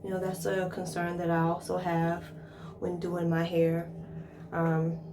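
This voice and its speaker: Echoey, low-pitched American woman vlogging. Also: monotone, a little slow, noisy background. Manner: informal, thinking aloud while demonstrating. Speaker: hair care vlogger. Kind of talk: casual chatty tutorial talk to viewers. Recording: roomy echo, distant from mic.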